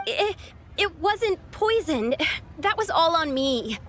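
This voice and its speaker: A woman's voice: very high-pitched, very animated, slow pace, fairly bright tone, full character voice. A woman voicing an earnest, apologetic and anxious young lady scholar in a video game. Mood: flustered, apologetic. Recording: faint music behind the voice.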